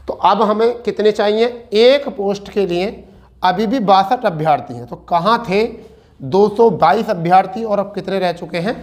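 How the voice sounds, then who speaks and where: A man lecturing students as a coaching teacher, asking and answering his own questions and repeating key points. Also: high voice.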